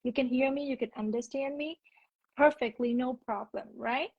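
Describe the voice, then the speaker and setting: A woman's voice: high voice, moderate pace, slightly rough, dry recording. A young woman with a Vietnamese accent, a teacher explaining her views thoughtfully in a casual video call, restarting words as she goes. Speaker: English teacher, guest. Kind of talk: casual conversation. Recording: dull lo-fi video call audio.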